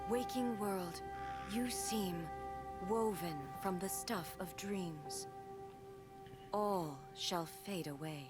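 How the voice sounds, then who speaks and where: A woman's voice: medium pitch, slow, natural voice. A woman as an imperious, cryptic game ruler. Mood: solemn, detached. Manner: grave, formal, cryptic. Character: imperious, detached female ruler obsessed with eternity. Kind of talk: video game character acting. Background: loud game trailer music.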